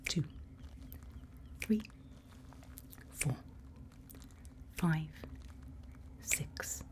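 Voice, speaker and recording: A woman playing a calm, reassuring monster-hunting guide in a whispered ASMR roleplay, speaking in a hushed, patient, breathy way. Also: deep voice, slight background noise, heavily acted. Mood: patient, coaxing. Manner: hushed, patient, instructive, soothing.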